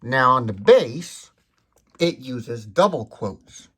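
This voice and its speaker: A man's voice: mid-range voice, animated, slow, pushed and bright, slightly rough. A man hosting a tech demonstration, explaining details methodically. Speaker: tech demonstrator, video host.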